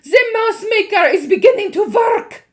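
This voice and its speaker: A woman's voice: extremely high pitch, lively intonation, normal speed, pitched above the performer's own voice. A woman voicing a cruel, theatrical female witch leader in a storybook reading. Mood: shrieking. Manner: shrieking, theatrical.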